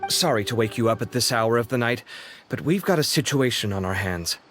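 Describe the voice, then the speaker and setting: A man voicing a young, expressive and somewhat fussy man in a video game, lively and talkative. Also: medium pitch, a little fast, lightly acted. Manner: lively, chatty and a little flustered. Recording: reverberant game scene with music.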